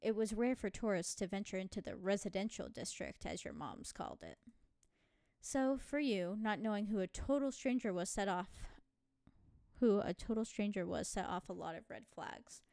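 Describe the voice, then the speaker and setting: A woman's voice: mid-range voice, expressive, normal speed, creaky, warm tone, no echo, clean studio sound. A woman playing a story game, reading the narration aloud and adding casual, giggly asides. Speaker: video game streamer.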